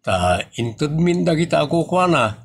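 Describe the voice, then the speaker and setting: A man plays a patient, kindly aid-giver with an Ilocano accent in a comedy audio drama. His gravelly voice swings widely in pitch, with small conversational hesitations. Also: big pitch swings, normal speed, full character voice. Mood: helpful, amused. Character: kindly, patient male charity vlogger giving aid.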